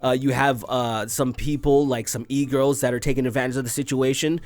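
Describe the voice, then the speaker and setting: A man's voice: medium pitch, steady tone, a little fast, no room sound. A young man hosting a commentary show, ranting crudely and sarcastically and laughing at his own jokes. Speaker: commentary video host. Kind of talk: ranting commentary on tweets and news.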